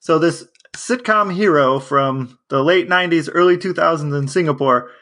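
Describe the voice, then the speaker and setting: An American man co-hosting a podcast with dry, deadpan comic banter. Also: fairly high pitch, moderate pace. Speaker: podcast co-host.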